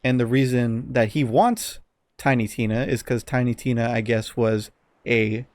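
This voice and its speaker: A man's voice: mid-range voice, moderate pace, a little mellow, dry recording. A man co-hosting a casual podcast, giving blunt opinions with some swearing and often searching for words. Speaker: podcast co-host.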